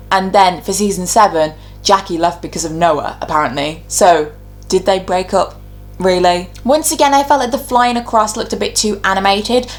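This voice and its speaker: Woman chatting like a youtube host, medium pitch, informal, giving her takes on a ranking. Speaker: video creator, host. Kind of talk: casual opinionated ranking commentary.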